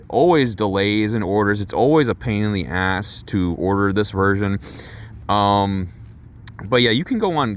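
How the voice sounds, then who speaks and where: A young man doing a casual unboxing vlog for his chat, excitable and rambling, swearing and laughing at himself while losing his voice. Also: medium pitch, a little hiss or hum. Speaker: gaming YouTuber doing an unboxing.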